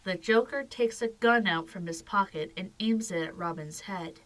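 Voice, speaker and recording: Lady narrator for an audio drama, mid pitch, reading a scripted story. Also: normal speed, a bit bright.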